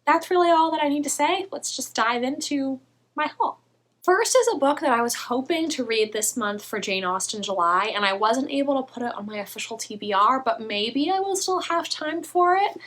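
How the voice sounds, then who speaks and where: A young woman, a little hoarse, chatting eagerly about books as a vlogger. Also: a little high, fairly bright tone. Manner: chatty, enthusiastic, quick asides. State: slightly hoarse. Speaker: book vlogger. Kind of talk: casual book haul vlog.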